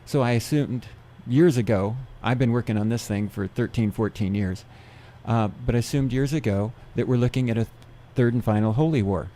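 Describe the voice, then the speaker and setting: A man who gives seminars, guesting on a radio interview, explaining things in a steady, methodical way. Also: mid-range voice, moderate pace, hardly any pauses. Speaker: seminar speaker.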